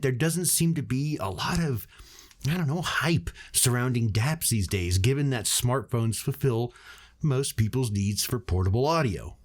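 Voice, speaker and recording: A man hosting a product review, reading scripted narration to his viewers. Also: mid-range voice. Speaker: reviewer and channel host. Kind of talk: scripted video narration, audio gear review.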